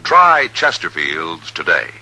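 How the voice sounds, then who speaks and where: A man's voice: low-pitched, huge pitch swings, slow. A man delivering a scripted cigarette-style radio commercial of the 1950s. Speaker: commercial announcer. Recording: vintage 1950s radio recording. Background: music.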